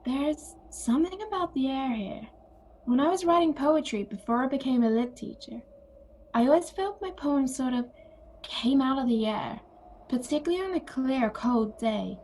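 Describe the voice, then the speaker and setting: Audio drama: actress as older sister, ex-teacher, high voice, calm and dryly humorous, some noise behind. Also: female voice, moderate pace, rough recording. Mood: reflective. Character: calm wry older sister Bea, former literature teacher. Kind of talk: audio play acting.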